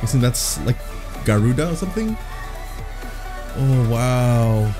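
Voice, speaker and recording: Casting: man from Borneo, reaction-channel host, enthusiastic and awestruck, mid-pitched voice, faint music underneath. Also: expressive, slow pace. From Borneo, Malaysia. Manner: enthusiastic, exclaiming in wonder. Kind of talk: casual reaction commentary. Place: small home studio room. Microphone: boom-arm studio mic. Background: music playing from the video.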